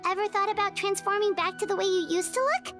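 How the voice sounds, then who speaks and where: Tiny, very high-pitched fairy sidekick. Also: female voice, fast talker, music in the background. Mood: excited, scheming. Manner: bubbly, exclamatory, childlike. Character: tiny excitable girlish fairy companion, speaks in third person. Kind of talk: English video game voice acting.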